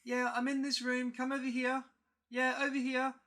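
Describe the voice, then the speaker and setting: A man acts out a monster from a spooky tale that imitates a person in need. It calls out in a raised, bright, plaintive voice, luring listeners nearer. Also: very high voice, monotone, normal speed, pitched above the performer's own voice. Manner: plaintive calling out. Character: skinwalker mimicking a helpless victim or old lady, luring.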